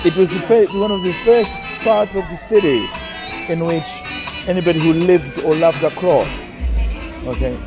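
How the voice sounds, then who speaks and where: A middle-aged man with a fairly high voice speaks reflectively and haltingly, often circling back over his words. Music plays clearly underneath him. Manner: halting, repeats words while searching for thoughts. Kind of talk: reflective spoken recollection. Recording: music mixed loudly under the voice.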